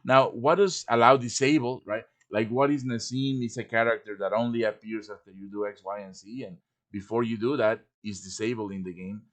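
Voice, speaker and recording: A man's voice: lowish voice, fairly even intonation, a little fast. A man hosting an informal livestream tutorial, chatty and explaining things step by step with frequent check-in questions. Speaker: host and instructor of a livestream tutorial. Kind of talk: informal live software tutorial.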